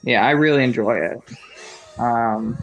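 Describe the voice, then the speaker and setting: A man's voice: medium pitch, a little slow, lo-fi sound. A man in his thirties co-hosting a live movie commentary, talking in a friendly way and laughing often. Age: 30s. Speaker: co-host of a movie commentary channel. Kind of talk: casual live chat with viewers.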